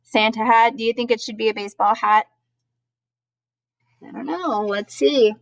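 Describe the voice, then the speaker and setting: A woman's voice: a little high, moderate pace, no room sound. A woman teacher reading a children's storybook aloud, expressively, with chatty asides that explain things simply to young listeners. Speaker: schoolteacher.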